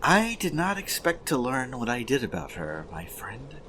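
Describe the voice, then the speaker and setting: A man playing an earnest, solemn goblin warrior in a fantasy audio drama, speaking formally and deliberately. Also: mid-range voice, wildly swooping pitch, pushed and bright, a little hiss or hum. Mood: confessing, solemn. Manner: formal, deliberate, heartfelt declarations.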